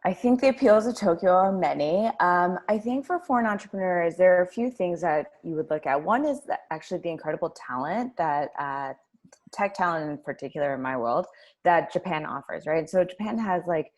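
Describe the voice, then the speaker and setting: A woman's voice: fairly low pitch, expressive, fairly quick, darkish tone. A woman executive answering panel questions in an enthusiastic, casual manner. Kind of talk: online panel discussion.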